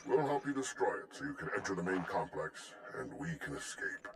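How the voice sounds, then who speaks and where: Haughty alien scholar, very deep, wordy, formal, game cutscene, noisy radio-like recording. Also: male voice, big pitch swings, fairly quick, creaky, exaggerated voice. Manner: haughty, florid, formal diction.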